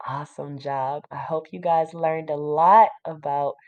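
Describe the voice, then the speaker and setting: A woman teacher reading a children's book aloud to a class, pausing to explain simply and put questions to young listeners. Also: low-pitched, fairly even intonation, phone-quality sound.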